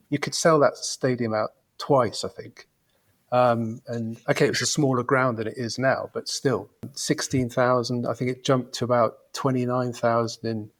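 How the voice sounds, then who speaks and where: A man in his fifties, a football fan invited as a podcast guest, chatting and reminiscing in a rambling, reflective way. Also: medium pitch. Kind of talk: casual podcast chat.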